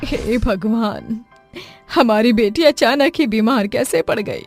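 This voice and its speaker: A woman's voice: a little high, slow. A woman acting a kind, motherly adult in a cartoon story, tender and full of concern. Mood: alarmed. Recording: music behind the voice.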